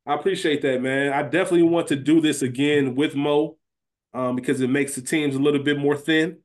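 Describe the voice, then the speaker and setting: A man's voice: a little high, fairly even intonation, brisk. A man chatting casually and emphatically as a podcast co-host, conversational in his delivery. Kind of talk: casual chat and recap.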